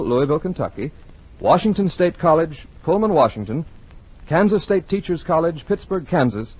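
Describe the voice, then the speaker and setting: An American man announcing in a formal, scripted 1940s radio style with measured enunciation. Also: on the high side, darkish tone, breathy edge. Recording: dull vintage 1940s radio broadcast recording.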